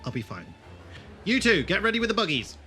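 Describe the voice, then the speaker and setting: A man's voice: high voice, big pitch swings, a little fast, bright, forceful tone, soft background music, heavily acted. A man playing a defiant, sarcastic alien leader who takes charge in a fan audio drama. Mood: brisk, commanding. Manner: sarcastic, commanding.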